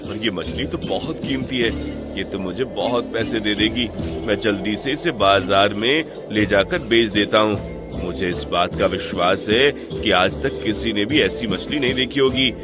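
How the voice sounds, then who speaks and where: Low-voiced, weary poor fisherman played by a man. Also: moderate pace, natural voice. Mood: amazed, hopeful. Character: poor, weary, God-fearing fisherman husband. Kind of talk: cartoon moral story dialogue. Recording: loud music bed behind voice. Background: music.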